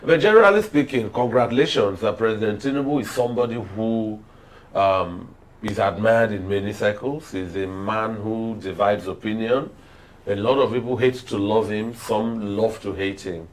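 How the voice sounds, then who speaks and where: A man with a Nigerian accent giving political commentary on a TV panel in measured, oratorical phrasing. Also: on the low side, rough recording.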